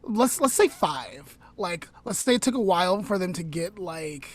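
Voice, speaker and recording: A woman podcast host telling a story aloud informally, in a measured way with dramatic pauses. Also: lowish voice, animated.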